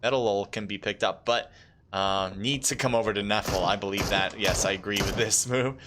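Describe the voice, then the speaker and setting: A man casting a live mobile battle royale match as play-by-play commentator, talking casually with fillers and jumping up in pitch when fights break out. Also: steady tone, moderate pace, noisy background. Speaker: esports caster. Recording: game gunfire clearly underneath. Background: game gunfire and clicks.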